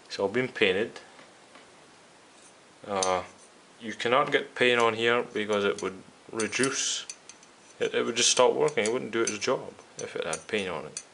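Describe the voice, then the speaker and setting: Low-pitched man explaining repairs, hissy recording. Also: measured pace, gravelly, a bit bright. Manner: explanatory, addressing viewers directly. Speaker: host of a radio restoration series. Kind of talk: casual hands-on repair demonstration.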